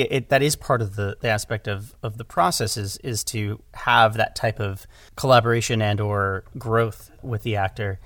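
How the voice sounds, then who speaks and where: Guy chatting casually on a podcast, lowish-key director talk, stops and rephrases, very dry sound. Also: fairly bright tone, no room sound. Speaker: podcast guest, audio drama director. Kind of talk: casual conversational discussion.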